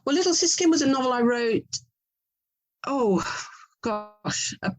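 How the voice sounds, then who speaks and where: A woman's voice: high-pitched, animated, unhurried. English woman, reflective and meandering. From England. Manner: reflective, meandering, self-correcting. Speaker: interviewed author. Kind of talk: conversational interview.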